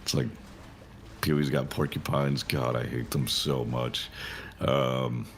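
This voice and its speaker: A man's voice: extremely low pitch, level tone, unhurried, gravelly, slight background noise. A man who works as a game developer, answering interview questions in a rambling way, thinking aloud with lots of fillers.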